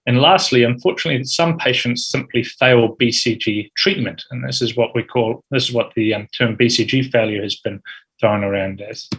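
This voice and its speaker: A man's voice: medium pitch, normal speed, fairly bright tone, slightly rough, low-quality recording. A man in his thirties with a New Zealand accent, giving an academic medical presentation with frequent ums and uhs. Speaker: urology fellow giving grand rounds talk.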